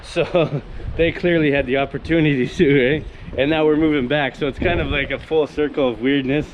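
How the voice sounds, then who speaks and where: A young man from Canada rambles enthusiastically on camera as he walks along outdoors, full of fillers and restarts. His voice sits a little high for a man. Faint wind and passing traffic hiss under the handheld camera recording. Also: fairly even intonation, normal speed, highs cut off. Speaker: vlogger. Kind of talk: casual walk-and-talk vlog. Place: outdoors on a coastal town street.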